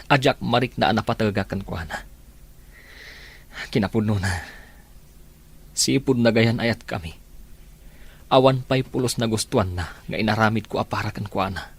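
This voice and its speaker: Man acting a heartbroken young lover, Ilocano accent, low pitch, husky, sincere, plaintive drama delivery. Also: moderate pace, long pauses. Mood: dejected. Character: heartbroken young man resigned to unrequited love, sincere and gentle.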